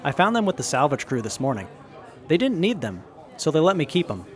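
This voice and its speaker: An actor playing an earnest young tinkerer in a radio drama, lively and explanatory. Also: male voice, fairly high pitch, brisk, some chatter behind.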